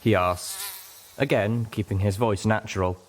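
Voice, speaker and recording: A man's voice: fairly low pitch, steady tone, normal speed, slight background noise. A man reading a scripted first-person story as an audiobook narrator.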